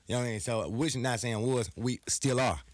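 A man's voice: mid-range voice, fairly quick, dry recording. An American man chatting casually on a podcast in slangy speech, often checking that he is understood.

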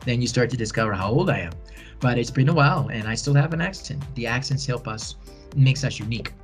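A man's voice: mid-range voice, animated, quick pace, faint music behind. Podcast guest, male, 40s, earnest and encouraging, repeats key phrases. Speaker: podcast guest, bilingual educator. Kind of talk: conversational interview answers.

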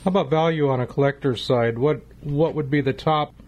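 A man with a somewhat high voice acts as co-host. He interviews casually and addresses the listeners in plain conversational language. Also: fairly quick, darkish tone.

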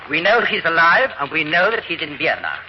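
Stern army major, fairly high animated voice, muffled old radio recording with faint hiss. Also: male voice, a little fast. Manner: firm and pressing. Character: stern military major questioning a suspect.

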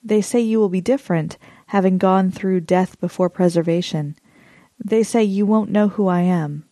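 A woman reading a poem as a measured first-person monologue. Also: mid-range voice, warm tone, no echo. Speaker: poetry reader.

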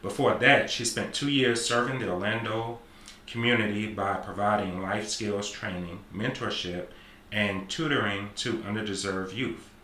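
A man's voice: fairly low pitch, moderate pace, pushed and bright. A man in his forties hosting a podcast interview, talking casually and repeating affirmations. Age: 40s. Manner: repetitive, affirming, talkative. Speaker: podcast host. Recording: roomy sound with faint noise.

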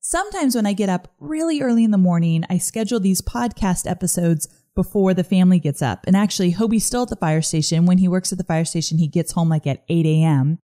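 A woman hosting a casual solo podcast, chatty and upbeat, her voice a little gruff this morning. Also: on the low side, fast, hardly any pauses, mellow. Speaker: podcast host.

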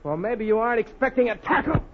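An actor playing a confident, clean-cut young college football hero, brisk and earnest, in 1940s radio style. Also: male voice, very high voice, lively intonation. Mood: tough, determined. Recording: old narrow-band radio, faint noise.

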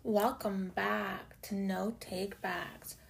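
A young woman from Canada with a low, raspy voice hosts a casual solo podcast, chatty and swearing freely, with lots of "like" and "y'all". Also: fairly even intonation, drawn-out words. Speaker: podcast host. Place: home room in Toronto.

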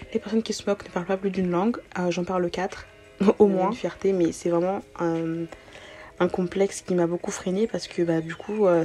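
A woman's voice: fairly low pitch, mellow. A woman in her early twenties, not a native French speaker, hosting a casual solo podcast in an informal, slangy way with some English words mixed in. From born outside France, moved to France as a young child. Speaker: podcast host. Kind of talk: casual solo podcast, answering self-introduction questions.

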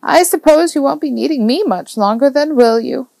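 Woman voicing a fussy, kindly old lady, raised pitch. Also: very animated, a little slow, gravelly, dry recording, exaggerated voice. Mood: wistful, fond. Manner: exclamatory, doting, gently scolding. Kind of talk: audiobook character dialogue.

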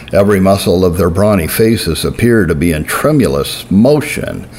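A man giving a solemn, deliberate reading of historical religious writing, slowing down to stress key phrases. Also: on the low side, a little mellow. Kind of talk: measured scripted reading.

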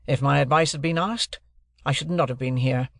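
A man's voice: on the high side, normal speed, creaky, fairly bright tone, no echo, clean studio sound. A man voicing a brusque, stern middle-aged lawyer who speaks in curt, clipped, commanding sentences. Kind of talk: audiobook character voice.

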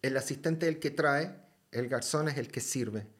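A man with a Chilean accent tells a story as a podcast guest, lively and loose with slang and curses. His voice sits at an ordinary middle pitch. He talks casually. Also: moderate pace, breathy edge. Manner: lively, slangy and swears freely.

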